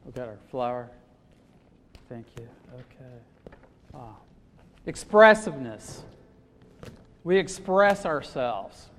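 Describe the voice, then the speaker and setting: A man preaching a sermon in a loud, expressive way, often pausing with fillers. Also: mid-range voice, slight background noise. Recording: long hall reverb.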